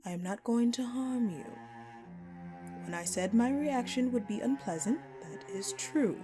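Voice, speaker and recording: A woman performs an eldritch dark goddess for an audio drama, speaking slowly over music. She is imperious and formal, choosing grand, archaic words as she addresses a mortal follower directly. Beneath the menace sits a concerned, protective streak. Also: fairly high pitch, animated. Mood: concerned, reassuring. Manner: commanding, formal, grandiose diction. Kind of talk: theatrical audio roleplay, addressing the listener. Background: background music.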